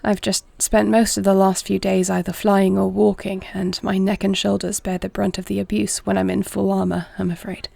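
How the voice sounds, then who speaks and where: A woman playing a battle-weary, caring warrior princess in an intimate audio roleplay, speaking softly and earnestly in a measured way. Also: medium pitch, little pitch movement, gravelly, dry recording. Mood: caring, gently insistent.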